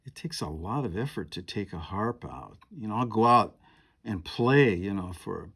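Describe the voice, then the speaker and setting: Man, 70s, husky and lowish, meandering talk to camera with many asides. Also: lively intonation, moderate pace, fairly bright tone. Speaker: piano and harp player. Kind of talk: rambling monologue to camera. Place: home.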